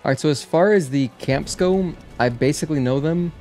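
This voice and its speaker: A man's voice: fairly high pitch, a little fast, darkish tone. A man doing casual, chatty gameplay commentary as a livestreamer, thinking aloud as he goes. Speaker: video game livestreamer. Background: game music.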